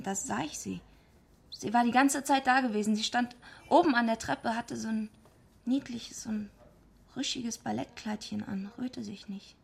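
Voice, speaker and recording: Tough, bitter wrestler with creaky voice. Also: female voice, medium pitch. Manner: gruff, blunt, slangy. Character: tough, bitter female heavyweight wrestler, streetwise and wounded. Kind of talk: radio play acting in dialogue.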